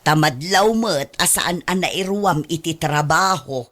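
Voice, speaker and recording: A woman's voice: sits low, unhurried, fairly bright tone. A woman playing a doting, possessive elderly mother who is sarcastic and fussing, in an Ilocano radio drama. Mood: doting, insistent.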